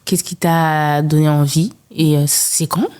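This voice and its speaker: A woman's voice: sits low, level tone, a little slow, darkish tone, smooth. A woman hosting a podcast, speaking in an informal, conversational interview style. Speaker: podcast host.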